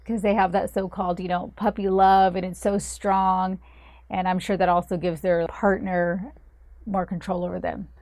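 A woman hosting an interview segment, delivering a scripted intro and clear prepared questions. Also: fairly low pitch, level tone, normal speed, darkish tone, dry recording. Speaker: host.

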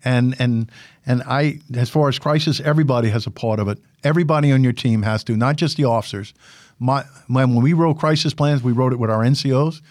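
An older man with a big, commanding voice speaks as a guest in an interview. He tells his stories with animated energy, repeating himself and restarting sentences. The recording is close and dry, with no room sound. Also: medium pitch, fairly quick, dry recording. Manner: animated storyteller, repeats words and restarts often. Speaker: guest, former military public affairs officer and instructor. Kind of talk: casual podcast interview.

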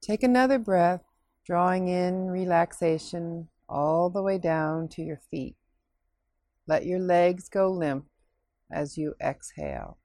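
A woman leading a scripted guided relaxation meditation in a soothing, unhurried, instructing way with pauses. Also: fairly low pitch, warm tone, no echo, studio quality.

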